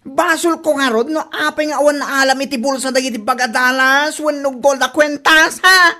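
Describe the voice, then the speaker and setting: A woman playing a brash, sharp-tongued neighbour in a comedy audio drama, speaking with an Ilocano accent. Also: high-pitched, normal speed. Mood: offended, retorting. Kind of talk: Ilocano comedy radio-style drama acting.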